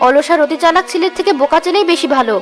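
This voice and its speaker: A woman's voice: very high voice, racing, soft background music. A woman playing a stern, scolding mother of grown sons in a cartoon fairy tale, with a blunt, sarcastic manner. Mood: bitter, angry.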